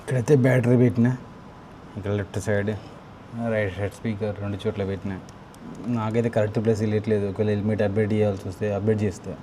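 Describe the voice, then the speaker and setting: A man's voice: on the low side, little pitch movement, racing, warm tone, slight background noise, low-quality recording. A man with a Telugu accent talking informally and thinking aloud as he casually walks through a product. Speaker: motovlogger unboxing and installing gear. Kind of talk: casual unscripted product walkthrough.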